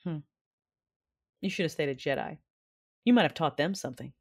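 A woman playing a brooding, commanding Sith who narrates her memories in a measured way for an audio drama. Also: low-pitched, expressive. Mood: weighty, intense. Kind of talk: first-person audio drama narration and dialogue.

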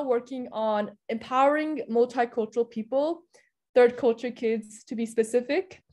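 Young woman, raised in Hungary, slightly high voice, fluent and conversational interview guest. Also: darkish tone. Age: young adult. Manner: fluent and articulate, with fillers like "so" and "you know".

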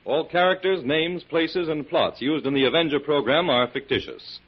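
A man's voice: fairly high pitch, normal speed, breathy edge. A man announcing in an emphatic, declamatory 1940s radio narration style. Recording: muffled, noisy 1940s radio recording.

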